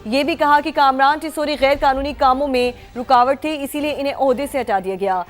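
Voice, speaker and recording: High-pitched Pakistani woman news anchor. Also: brisk. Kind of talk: scripted TV news reading. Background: news music bed.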